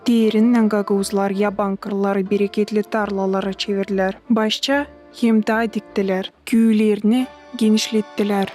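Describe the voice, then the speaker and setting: A woman voicing a patient mother who tells history to children in an animated cartoon, in a measured storytelling style. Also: mid-range voice, faint music behind, lightly acted. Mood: patient, instructive.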